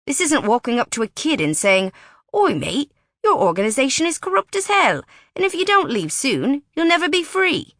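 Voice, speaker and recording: A woman's voice: high voice, sing-song, moderate pace, fairly bright tone, no echo, clean studio sound, voice pushed up, exaggerated voice. A woman voicing an impatient, stubborn young British man who scolds urgently. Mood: heated.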